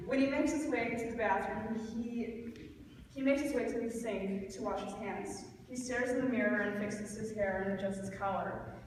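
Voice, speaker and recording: A woman's voice: fairly high pitch, moderate pace. Young woman narrating, distant in echoey hall. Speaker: narrator reading stage directions. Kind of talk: impromptu live play reading. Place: large reverberant room at a live reading event. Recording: echoey hall from far off, noisy.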